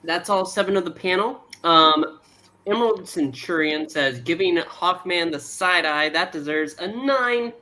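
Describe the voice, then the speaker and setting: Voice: mid-range voice, measured pace, pushed and bright. A co-host on a casual livestream panel, chatting and reading out viewer votes.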